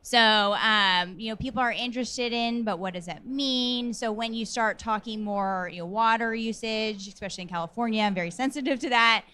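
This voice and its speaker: A woman's voice: a little high, normal speed, hardly any pauses, bright, forceful tone, clear, resonant tone. A woman panelist at a trade show, speaking in a chatty, enthusiastic way with many fillers. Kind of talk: panel discussion.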